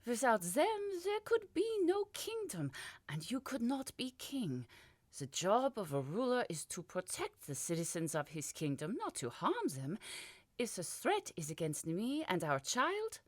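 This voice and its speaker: A woman voicing a composed pregnant queen who speaks calmly and persuasively. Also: on the high side, no room sound, pitched above the performer's own voice, exaggerated voice. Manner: calm, measured, softly persuasive.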